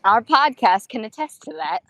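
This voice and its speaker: A woman's voice: high voice, lively intonation, normal speed, vocal fry, pushed and bright, dry recording. A woman co-hosting a podcast with casual, playful, teasing banter. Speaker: podcast co-host.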